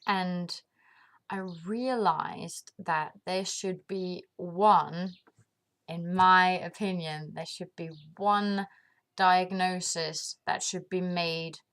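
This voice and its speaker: Woman from denmark, unhurried and reflective, lowish voice, casual vlog talk. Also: fairly bright tone. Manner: thoughtful, pauses often with ums, laughs at herself. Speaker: vlogger. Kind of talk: casual reflective monologue to camera.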